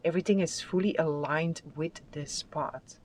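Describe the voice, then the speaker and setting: A tarot card reader giving a spiritual reading with affirmations, in a soft, soothing and unhurried voice that sometimes drops to a whisper. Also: medium pitch, steady tone, a little hiss or hum. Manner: soft, soothing, unhurried delivery with drawn-out phrases.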